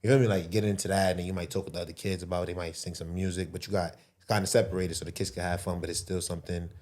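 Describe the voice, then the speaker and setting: A man's voice: sits low, little pitch movement, racing, a little mellow. A man, chatting casually as a podcast guest with loose street slang and lots of fillers. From Brooklyn, New York. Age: 20s. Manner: loose, filler-heavy street slang, says bro a lot. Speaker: guest entrepreneur.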